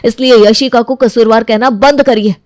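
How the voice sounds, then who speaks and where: A woman's voice: a little high, fairly even intonation, normal speed, no room sound, lightly acted. A woman as an earnest, protective young man, dry sound. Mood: protesting. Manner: insistent, earnest. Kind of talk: acted dialogue in Hindi moral story cartoon.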